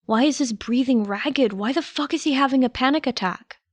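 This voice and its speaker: A woman's voice: sits high, normal speed, dry recording. A woman reading a story aloud as a scripted audiobook narrator, close to the microphone. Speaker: podfic narrator. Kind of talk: scripted narration of fan fiction.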